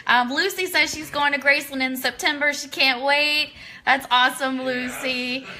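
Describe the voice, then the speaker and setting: A woman with a very bright, fairly high voice hosts a casual live chat. She is effusive and encouraging, and there is faint noise behind her. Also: fairly even intonation, moderate pace. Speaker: radio host, interviewer.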